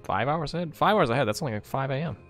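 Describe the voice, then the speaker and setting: A man's voice: mid-range voice, animated, very fast, a bit bright, soft background music. A man streaming a game, chatting casually in an informal, playful manner. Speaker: streamer and gamer. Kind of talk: casual chat while gaming.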